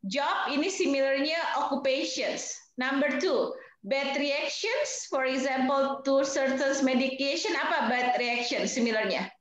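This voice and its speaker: Chatty teacher with a very echoey sound. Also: female voice, high-pitched, a little slow, a bit bright. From Indonesia. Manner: chatty, explains vocabulary and quizzes students. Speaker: English teacher for nursing students. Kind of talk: online class lecture mixing two languages.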